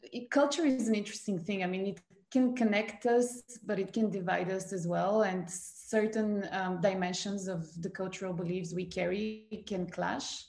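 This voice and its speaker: A woman from Bulgaria answers interview questions in a thoughtful, searching way, pausing on fillers and restarting her sentences. Her voice has a gravelly fry to it. The recording sounds like an echoey room. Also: mid-range voice, steady tone, darkish tone. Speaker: guest. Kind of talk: conversational interview.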